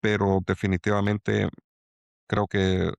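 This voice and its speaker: Middle-aged Salvadoran man, low voice, gravelly fry, dry close sound, halting reflective speech. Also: monotone, no room sound. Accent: Salvadoran Spanish. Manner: halting, many restarts and fillers. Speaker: angel investor guest. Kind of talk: reflective interview talk.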